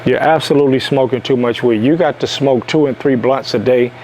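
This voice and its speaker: A man's voice: mid-range voice, a little fast, a little mellow, muffled recording, a little hiss or hum. A man from Detroit giving a preachy motivational monologue as the host of a self-help video, stressing his points by saying them again. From Detroit, USA. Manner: emphatic, repeats lines for stress.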